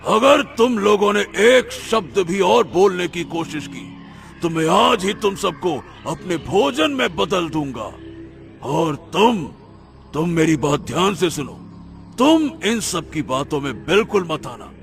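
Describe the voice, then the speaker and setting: Savage monster captor for animated tale: menacing, commanding, unhurried, with heavy fry in the voice. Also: male voice, high-pitched, soft background music, exaggerated voice. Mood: angry threatening. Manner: menacing, commanding, slow drawn-out threats. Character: savage man-eating monster, cruel, threatening captor. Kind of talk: dramatic animated story dialogue.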